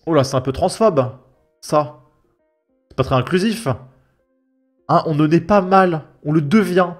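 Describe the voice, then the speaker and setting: A man's voice: a little high, a little slow. Sarcastic, exasperated young Frenchman over music. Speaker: YouTube commentator. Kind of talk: casual mocking reaction commentary. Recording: music behind the voice. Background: background music.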